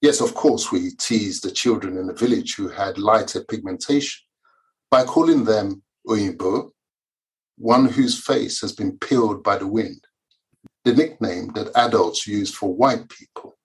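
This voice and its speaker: Measured man in his fifties, reading. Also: lowish voice, rough recording. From Nigeria. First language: Yoruba. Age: 50s. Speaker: author and lawyer. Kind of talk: reading his memoir aloud.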